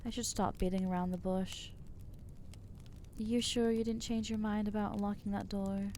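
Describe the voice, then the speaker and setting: A woman voicing an anxious, guilt-ridden young female vampire in an ASMR roleplay, speaking haltingly and stammering as she reproaches herself. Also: mid-range voice, little pitch movement, a little mellow, a little hiss or hum, natural voice. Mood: anguished.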